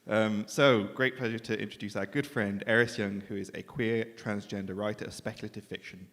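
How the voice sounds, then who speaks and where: A man's voice: on the low side, fairly even intonation, a little fast, a bit bright. A man hosting a live literary event, making informal, joking, self-deprecating announcements between acts. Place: indoor live event venue in a reverberant hall. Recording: echoey hall with clear background noise.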